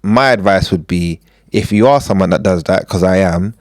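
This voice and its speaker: A man from Uganda co-hosting a casual podcast, joking and animated, often acting out voices. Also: low-pitched, normal speed, no echo, studio quality. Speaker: podcast co-host.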